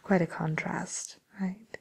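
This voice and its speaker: A polite, tactful matchmaker at a fairy-tale villains' dating service, played by a woman in an ASMR role play. She speaks in a very breathy whisper, slowly and fairly low for a woman. Her phrasing is diplomatic and hesitant, with gentle pauses. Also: fairly even intonation, full character voice. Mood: tactful, gently amused.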